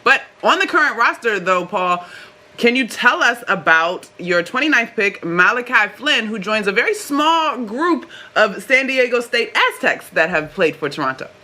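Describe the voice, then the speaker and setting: A woman co-hosting a sports interview, putting questions in a measured, articulate, conversational way. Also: fairly high pitch, sing-song, bright, forceful tone. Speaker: sports show co-host.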